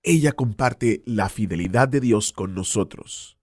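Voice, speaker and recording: A man's voice: on the low side, a little slow, darkish tone, husky, no room sound, studio quality. A man hosting a radio program, presenting in a scripted style. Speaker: program host.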